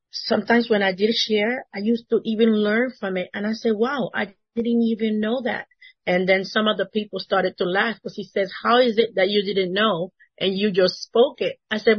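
Husky woman with a Spanish accent. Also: medium pitch, dry recording, dull audio. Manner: earnest, laughs between phrases. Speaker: Bible study participant. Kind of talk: casual testimony.

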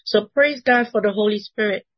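A woman's voice: mid-range voice, normal speed, no echo, lo-fi sound. A woman with a Spanish accent, a native Spanish speaker, sharing an earnest testimony at a Bible study and laughing between phrases. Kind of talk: casual testimony.

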